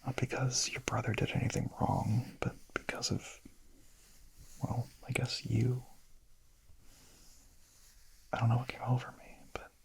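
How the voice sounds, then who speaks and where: A man playing a shy, gentle young vampire in a whispered ASMR roleplay, murmuring softly, hesitantly and intimately in a breathy voice. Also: medium pitch, little pitch movement, normal speed, low-quality recording. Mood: quiet confessing. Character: shy, gentle young male vampire, smitten misfit confessing.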